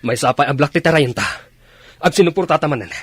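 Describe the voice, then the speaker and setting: An actor with an Ilocano accent playing a steady commanding army officer in a radio drama, terse and authoritative. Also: male voice, on the high side, quick pace, breathy edge, dry recording, studio quality. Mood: commanding, urgent.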